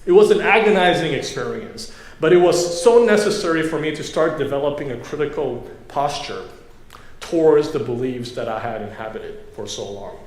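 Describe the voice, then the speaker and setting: A man from Taiwan giving a conversational sermon as a guest preacher, pausing often with fillers. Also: a little high, lively intonation. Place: church sanctuary. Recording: echoey church room, faint ambience. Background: congregation.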